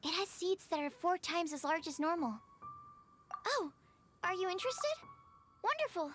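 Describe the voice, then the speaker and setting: A woman's voice: extremely high pitch, sing-song, moderate pace, music in the background. A woman voicing an earnest, polite, bookish young researcher with a light girlish voice in an anime-style video game. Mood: eager. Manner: earnest, politely formal, slightly hesitant.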